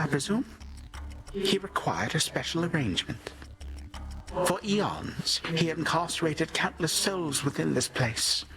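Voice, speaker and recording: An actor playing a pompous, vengeful Titan of time in a video game, with a haughty, ornate and deliberate delivery. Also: male voice, on the high side, vocal fry, rough recording. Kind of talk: video game villain acting.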